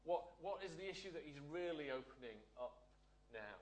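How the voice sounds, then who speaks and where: A man's voice: fairly high pitch, animated, normal speed. A man preaching a sermon, often asking the listeners rhetorical questions. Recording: echoey room.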